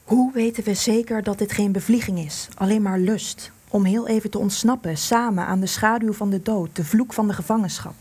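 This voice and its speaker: An actress in a radio play, playing an anguished, guilt-ridden woman in hiding with a secret lover, breathy, intense and pleading. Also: medium pitch, moderate pace, hardly any pauses, a little mellow, close to an everyday voice. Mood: hushed, guilty.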